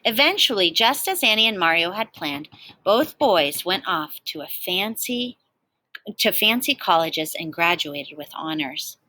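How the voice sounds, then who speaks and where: A woman reading a children's storybook aloud in an expressive, animated way, like a teacher talking to young listeners. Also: lowish voice, a little slow, no echo, low-quality recording.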